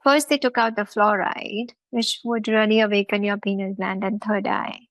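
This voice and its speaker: A woman's voice: mid-range voice, level tone, normal speed, a bit bright, dry recording, rough recording. A woman with an Indian accent hosting a talk show, explaining at length in an emphatic way, with repeated phrases and lists. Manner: emphatic, repetitive listing. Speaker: host.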